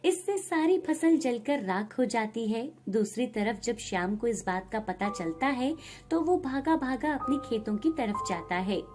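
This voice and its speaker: A woman narrates an animated moral story from a script. Her voice is on the higher side, and music plays underneath. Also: unhurried.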